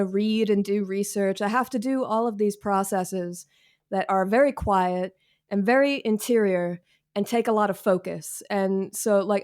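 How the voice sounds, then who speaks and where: A woman guest author giving informal, candid interview answers in a wry, self-deprecating way, speaking from home on a video call. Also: mid-range voice.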